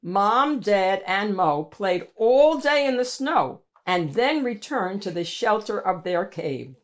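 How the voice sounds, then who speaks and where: A woman narrates a children's picture book with dramatic emphasis. Her pace is slow and her pitch moves widely, giving an animated read-aloud delivery. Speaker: storybook reader and host.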